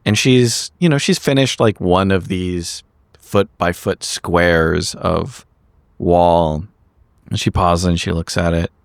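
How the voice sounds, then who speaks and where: A man playing a tabletop game, narrating his character's actions haltingly as he thinks aloud. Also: low voice, vocal fry, dry recording, studio quality. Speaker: player.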